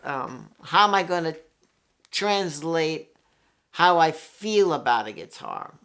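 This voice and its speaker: A man's voice: fairly high pitch, fairly bright tone. Rambling older man from New York. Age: 60s. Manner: rambling, candid, swears freely, with many fillers and restarts. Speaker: guitarist and channel host. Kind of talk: casual reflective monologue.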